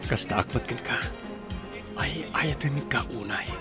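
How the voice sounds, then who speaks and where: A man's voice: medium pitch, a little slow, close to an everyday voice. Man playing earnest young groom, music behind. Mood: tender. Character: earnest, tender young bridegroom. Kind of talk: Ilocano radio drama acting.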